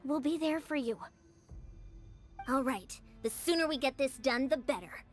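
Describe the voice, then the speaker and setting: A woman's voice: sits high, measured pace, soft background music. A woman playing a caring, level-headed young warrior in a fantasy video game, reassuring yet firm.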